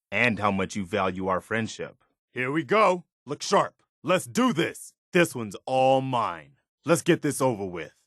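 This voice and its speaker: A man's voice: high voice, sharp tone, no echo, clean studio sound, exaggerated voice. A man voicing an earnest, principled militia soldier as a companion in a video game. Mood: hurt, disappointed, then steady, calm. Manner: earnest, plain-spoken soldier.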